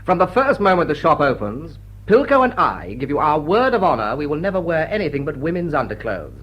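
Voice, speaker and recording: Husky, roguish dashing lord. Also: male voice, fairly high pitch, big pitch swings, brisk, dull audio. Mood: playful. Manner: suave, teasing. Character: dashing, witty, roguish young English lord. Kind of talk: vintage drawing-room drama acting.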